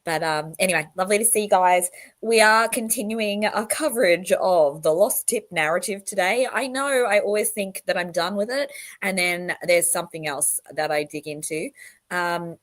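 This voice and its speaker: A woman in her thirties with a croaky, sick voice, chatting casually with her livestream viewers and greeting them by name. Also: lowish voice, normal speed. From Australia. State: croaky voice from illness. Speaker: livestream host. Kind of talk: casual livestream talk to chat.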